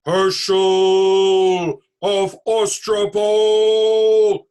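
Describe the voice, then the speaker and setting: A man voicing a terrifying goblin king for a storybook, speaking in slow, drawn-out, ominous phrases. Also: high-pitched, completely flat delivery, darkish tone, clear, resonant tone, voice pushed up. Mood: thundering. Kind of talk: storybook read-aloud villain.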